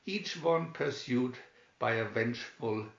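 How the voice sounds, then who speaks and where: A man reading a children's adventure story aloud with measured, deliberate phrasing, recorded in an echoey room. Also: mid-range voice, vocal fry, highs cut off. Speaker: storybook narrator.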